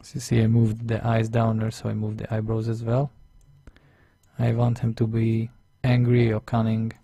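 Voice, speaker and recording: Man, Hungarian accent, non-native English, drawing tutor, dark mellow tone, dry close mic. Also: fairly low pitch, monotone, normal speed, creaky, husky, no room sound. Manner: thinking aloud step by step, chatty and self-deprecating. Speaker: illustration instructor. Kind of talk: screen-recorded drawing tutorial voice-over.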